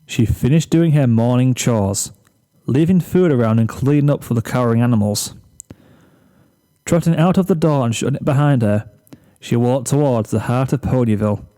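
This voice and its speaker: A man's voice: mid-range voice, moderate pace, warm tone. A man reading a comic story aloud as narrator, in scripted narration.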